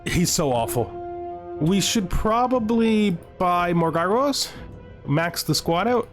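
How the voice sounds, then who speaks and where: A man's voice: sits high, lively intonation, slow pace. Rambling man doing game commentary over music. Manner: rambling, thinking aloud, often asking himself questions. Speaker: gaming video host. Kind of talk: casual let's-play commentary on a strategy game. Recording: background music under the voice.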